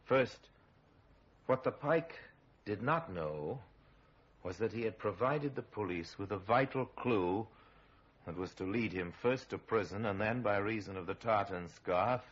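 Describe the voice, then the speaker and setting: A grave storyteller from a vintage radio crime series. The voice is very deep and rough-edged, measured and drily ironic, on a muffled old recording. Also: male voice. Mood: grave, ominous. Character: grave male narrator of a true-crime series, sardonic. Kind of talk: 1950s radio crime drama narration, dramatic.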